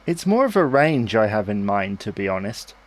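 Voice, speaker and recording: Lively husband, gently persuasive played by a man. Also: on the low side, normal speed. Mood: coaxing. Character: Harry, patient, reasonable husband and new father, coaxing. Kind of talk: naturalistic domestic audio drama acting.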